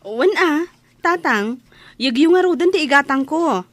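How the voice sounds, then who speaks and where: A woman's voice: sits high, animated, moderate pace, a bit bright. A woman with an Ilocano accent playing a teasing, outspoken daughter in an audio drama. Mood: teasing, protesting. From Philippines.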